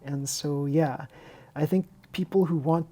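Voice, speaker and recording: Husky woman chatting through a hobby build. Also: deep voice, fairly even intonation, a little slow, warm tone. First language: Chinese. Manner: rambling, candid, self-interrupting. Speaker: hobby video host and teacher. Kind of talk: unscripted casual chat over a model car build tutorial.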